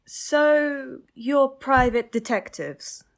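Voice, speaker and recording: A woman's voice: high-pitched, gravelly, full character voice. A woman playing a plummy English lady of the manor. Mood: gracious. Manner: plummy, affected, drawn-out. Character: posh genteel lady of the manor, fussy. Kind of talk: student radio play acting.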